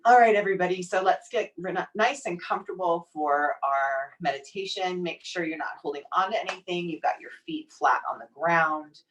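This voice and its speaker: A woman leading a guided meditation in a soothing, gently encouraging, unhurried manner. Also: on the low side. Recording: distant microphone pickup.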